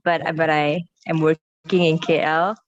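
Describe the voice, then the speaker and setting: A woman introducing herself on a video call, hesitant and soft-spoken. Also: low-pitched, level tone, a little slow. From Malaysia. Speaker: workshop participant. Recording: dull video call audio with faint noise.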